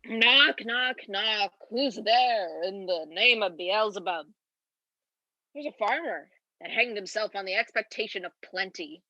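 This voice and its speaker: A woman's voice: mid-range voice, a little slow, pushed and bright, no room sound, dull audio. A young woman playing a drunken, bawdy, grumbling castle porter in a comic amateur Shakespeare monologue with exaggerated, tipsy delivery. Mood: grumbling, mocking. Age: young adult.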